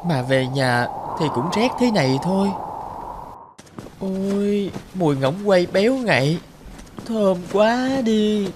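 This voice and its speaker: Plaintive poor little girl, over loud music. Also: medium pitch, lively intonation, slow pace. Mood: forlorn. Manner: plaintive, pleading. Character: poor, freezing, pleading little match girl. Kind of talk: animated storytelling drama.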